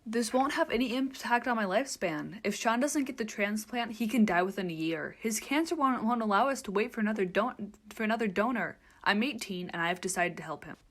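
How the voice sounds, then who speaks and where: A woman's voice: fairly high pitch, animated, brisk, few pauses. A woman playing a brave, resolute eighteen-year-old daughter in a radio drama, earnest and insistent.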